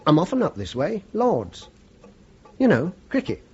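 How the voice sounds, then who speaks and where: A man's voice: fairly high pitch, wildly swooping pitch, unhurried, breathy edge, heavily acted. An actor playing an easygoing, self-deprecating British working man in his sixties, deferential and a little hesitant, in a radio play. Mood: chatty, easygoing. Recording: dull, noisy radio play recording.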